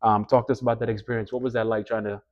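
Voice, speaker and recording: A man's voice: on the low side, level tone, racing, highs cut off. A man in his thirties hosting a casual interview podcast, chatty and enthusiastic. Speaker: podcast host.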